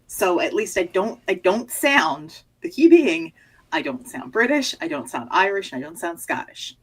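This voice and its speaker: A woman's voice: lowish voice, big pitch swings, normal speed, fairly bright tone, breathy edge, poor audio. A middle-aged woman co-hosting a podcast, chatting casually with lots of restarts and fillers. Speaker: podcast co-host. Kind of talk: casual chat.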